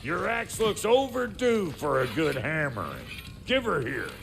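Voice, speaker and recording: A man with an American accent voicing a gruff, brash, foul-mouthed dwarf blacksmith in a video game, gravelly, folksy and blunt. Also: a little high, wildly swooping pitch, a little slow, over music, full character voice. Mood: cocky, gruff. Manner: folksy, blunt, colourful made-up slang. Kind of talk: video game character acting.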